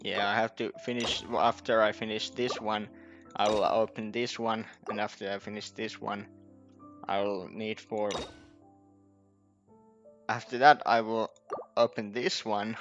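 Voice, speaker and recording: A man giving casual gameplay commentary, thinking aloud in a halting, repetitive way as he plans. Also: lowish voice, flat delivery, a bit bright. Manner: halting, repetitive, planning as he goes. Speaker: gaming video creator. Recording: dull lo-fi mic, game music behind. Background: faint game music.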